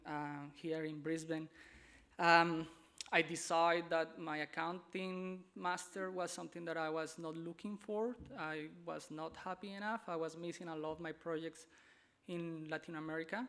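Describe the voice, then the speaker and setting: A man with a Colombian Spanish accent presents to a conference audience, his voice somewhat high with a gravelly edge. He talks haltingly, restarting phrases and hunting for English words. Spanish is his first language. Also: pushed and bright. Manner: halting, searching for words, repeats himself. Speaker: conference speaker. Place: conference hall.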